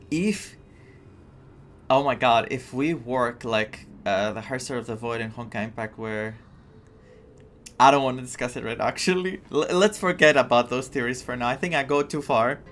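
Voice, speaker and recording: A man from Greece giving casual, excitable reaction commentary on a game, thinking aloud as he plays. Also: mid-range voice, a little hiss or hum. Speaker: gaming streamer. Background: faint game music.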